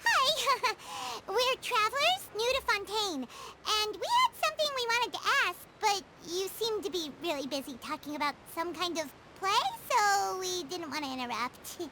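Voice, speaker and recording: A woman voicing a small, curious, excitable fairy companion in a video game, with a squeaky childlike voice. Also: moderate pace, noisy background. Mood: hesitant, polite. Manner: refers to herself in third person. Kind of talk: animated game dialogue. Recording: loud music behind.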